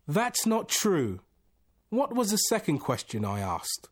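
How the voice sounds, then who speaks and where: A bewildered, desperate storybook man, played by a male reader, pushing his voice high and swinging it widely. He sounds husky and strained, halting yet insistent. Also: wildly swooping pitch, exaggerated voice. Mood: demanding. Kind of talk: storybook character reading.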